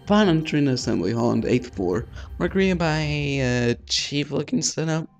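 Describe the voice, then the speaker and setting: A man's voice: fairly high pitch, lively intonation, moderate pace, creaky. Man reading a game aloud, music behind. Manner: stumbles over names, offhand swearing. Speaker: let's play host. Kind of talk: casual read-aloud of a visual novel with asides.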